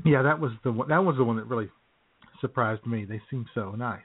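A man's voice: mid-range voice, brisk, slightly rough. A man co-hosting an internet radio show with casual, joking banter. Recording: tinny phone-line internet radio sound.

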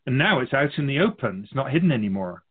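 A man playing a wise, patient otherworldly keeper of souls in a radio drama, amused, reassuring and philosophical in measured phrases. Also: medium pitch, sing-song, slightly rough, narrow, tinny audio. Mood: grave, explanatory. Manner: measured, philosophical, musing, addressing humanity as an outsider.

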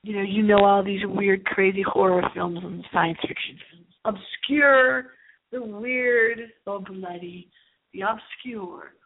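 A woman's voice: mid-range voice, steady tone, takes it slowly, breathy edge. A middle-aged woman hosting a late-night horror movie radio show, rambling theatrically and repeating her phrases. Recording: thin, phone-like lo-fi sound.

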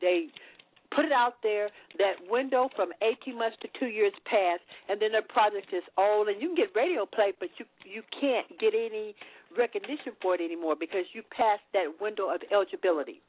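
A woman's voice: mid-range voice, fairly quick, slightly rough. A woman guest on a radio show who speaks emphatically, lecturing about the music business. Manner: emphatic, lecturing, with repeated restarts. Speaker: marketing and promotions expert guest. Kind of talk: explanatory advice on talk radio. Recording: dry tinny phone line.

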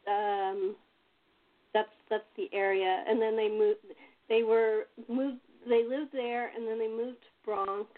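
High-voiced American woman, rambling. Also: little pitch movement, slow pace. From Los Angeles, US. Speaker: host and playwright. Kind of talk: casual chat. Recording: tinny, phone-like call audio.

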